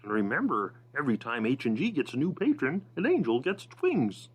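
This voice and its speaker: A man doing a high, cartoonish frog puppet voice to read a podcast promo. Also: huge pitch swings, fairly quick, husky. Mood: chirpy. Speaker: voiceover announcer.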